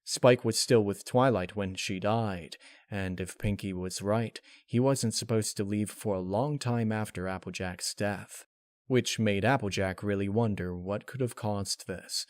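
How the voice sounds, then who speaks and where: A man reading scripted dark fan fiction aloud as its narrator. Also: lowish voice, a little slow, no echo, clean studio sound.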